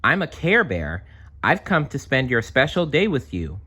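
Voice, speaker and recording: A man's voice: mid-range voice, very animated, normal speed, bright, forceful tone, breathy edge, voice pushed up, heavily acted. A man voicing a kindly, caring cartoon bear in a children's storybook. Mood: cheerful.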